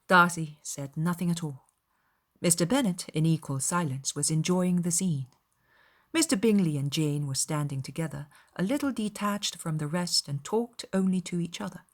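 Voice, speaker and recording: A woman reading a classic novel as scripted audiobook narration, in a formal, measured storytelling manner. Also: fairly low pitch. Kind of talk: scripted literary narration, dramatized.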